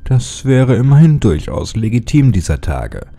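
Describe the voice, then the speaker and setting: A man who hosts and narrates horror stories, speaking in a husky, low voice with a measured delivery. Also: very animated, dark tone. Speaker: horror story narrator and channel host. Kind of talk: casual channel address, then dramatic horror audiobook narration.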